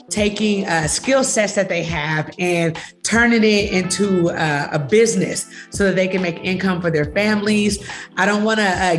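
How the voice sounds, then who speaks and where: A woman hosting a conversational interview as a pastor, speaking in an effusive, welcoming way. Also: lowish voice. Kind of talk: warm-up introduction and conversational interview.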